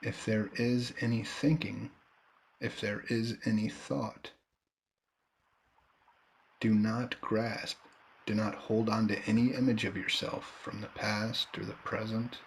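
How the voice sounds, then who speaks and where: A man leading a guided meditation in a calm, unhurried manner, leaving long pauses between short phrases. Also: lowish voice, creaky, slight background noise, low-quality recording. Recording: background nature ambience and birdsong. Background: nature and bird sounds.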